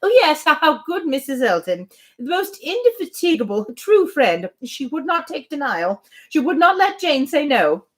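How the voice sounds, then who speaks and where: A woman's voice: high-pitched, sing-song, moderate pace, pitched above the performer's own voice. A woman reading a classic novel aloud, voicing a middle-aged spinster who chatters on in a breathless, anxiously grateful, repetitive ramble. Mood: gushing.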